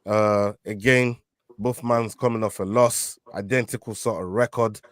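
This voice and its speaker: A man's voice: fairly low pitch, fairly even intonation, unhurried, no echo, clean studio sound. A man hosting a casual fight prediction show, leading the picks with many fillers and restarts. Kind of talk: casual betting picks chat.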